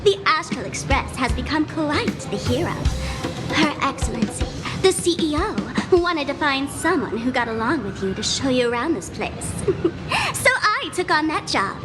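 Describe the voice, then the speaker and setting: Brief: playful young female trickster for a game, high and lively, teasing, loud music underneath. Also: moderate pace. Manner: teasing, theatrical. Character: mischievous, playful young woman trickster acting as tour guide. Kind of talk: video game character acting.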